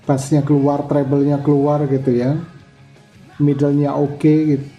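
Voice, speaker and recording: An older man giving a relaxed, chatty product review, full of fillers and restarts. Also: on the high side, measured pace, faint music behind. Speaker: motorcycle gear reviewer.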